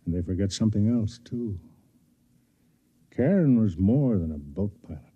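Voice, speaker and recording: An actor playing an old, fat, guilt-ridden narrator of a space tale in a 1950s radio drama, confessing in a world-weary way. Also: male voice, on the low side, animated, moderate pace, dark tone, slightly rough. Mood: weary, reflective. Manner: world-weary, confessional first-person storytelling. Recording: dull vintage radio recording, faint music.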